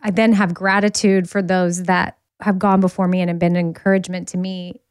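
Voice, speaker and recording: A woman's voice: fairly low pitch, level tone, a little fast, clear, resonant tone, no echo, clean studio sound. A woman around forty co-hosting a podcast conversation, speaking candidly and reflectively about her own recovery. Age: 40s. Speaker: podcast host.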